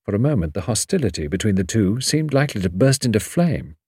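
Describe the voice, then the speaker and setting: Low, husky British male narrator. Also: big pitch swings, fast talker, a little mellow, no echo, studio quality. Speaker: audiobook narrator. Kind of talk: scripted narration of a novel.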